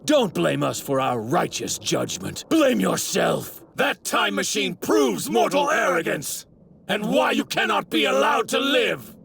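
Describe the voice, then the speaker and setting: An actor playing an arrogant, self-righteous god who scorns mortals, with pompous, formal diction in a theatrical anime dub. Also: male voice, medium pitch, vocal fry. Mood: impassioned, condemning. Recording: noisy clip with overlapping sound effects. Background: anime sound effects.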